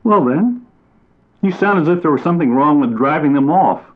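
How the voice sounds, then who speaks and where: A man voicing a touchy, proud male mockingbird who talks in a high, excitable, indignant way. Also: moderate pace, lightly acted. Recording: tinny phone-like audio.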